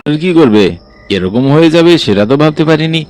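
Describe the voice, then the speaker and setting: A man's voice: a little high, lively intonation, a little fast, a little mellow, faint music behind, natural voice. An actor playing a concerned village man in a horror cartoon, hesitant and earnest. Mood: alarmed.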